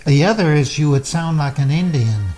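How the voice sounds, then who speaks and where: An older man chatting with his son at home, halting and unhurried, with a dry sense of humor. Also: fairly high pitch, animated, dark tone, faint music behind. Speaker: guest, host's father. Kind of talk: casual chat. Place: his condo, indoors.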